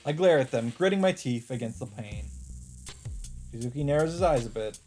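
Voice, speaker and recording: Guy doing a let's play, reads the story out, medium pitch, faint game music. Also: expressive, a little fast, a little mellow. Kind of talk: reading game narration aloud with casual asides.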